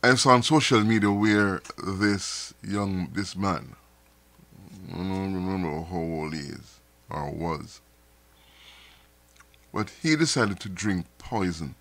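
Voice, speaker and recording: A man's voice: deep voice, slow, long pauses, a bit bright, no room sound. A man hosting a live stream, talking casually to viewers with frequent repeats and restarts, sounding a little nasal from dust in his throat. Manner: rambling, repeats words and restarts often.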